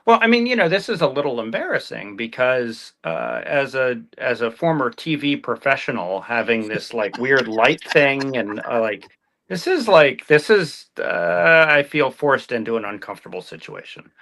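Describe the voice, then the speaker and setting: A man's voice: medium pitch, big pitch swings, moderate pace, creaky, fairly bright tone. Wry, sarcastic male guest on a phone. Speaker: guest co-host. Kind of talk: casual livestream chat.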